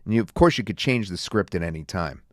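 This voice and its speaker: A man reviewing and demonstrating software in a casual, rambling way with many fillers. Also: sits low, expressive, fast, no room sound, studio quality. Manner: casual, filler-heavy talk. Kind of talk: screen-recorded software demo.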